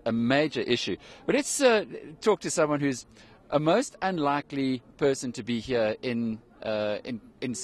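A middle-aged man reports live outdoors into a handheld mic, his voice at an ordinary middle pitch. He chats with his guest casually, dropping in the odd um. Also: breathy edge. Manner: conversational, with some ums. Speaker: TV interviewer. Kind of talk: live TV interview. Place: outdoors in snow.

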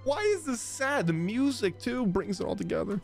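A man's voice: high voice, lively intonation, normal speed, gravelly, a little mellow. A man in his thirties giving casual, slangy live reactions as a game streamer, close on a desk microphone. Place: small indoor gaming room. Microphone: close desk microphone, headset on. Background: game music.

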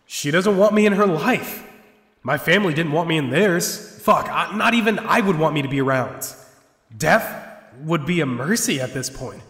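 An actor playing a bleak, sardonic survivor who has given up on living, in a sci-fi audio drama. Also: male voice, on the high side, animated, normal speed, a bit bright. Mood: bitter, hopeless. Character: despairing, bitter male survivor resigned to death. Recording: echoey cavernous hall reverb.

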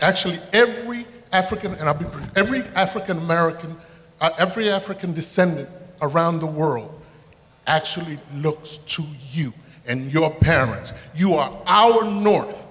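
Earnest, higher-pitched Honduran man. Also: a bit bright. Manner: earnest, emphatic. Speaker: audience member making a statement. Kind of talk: earnest public comment. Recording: reverberant hall PA.

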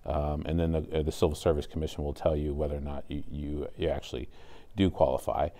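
American man, deep relaxed voice, interview guest giving explanations. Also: quick pace. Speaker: 911 communications supervisor, interview guest. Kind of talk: explanatory studio interview answers. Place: indoor TV studio at a desk.

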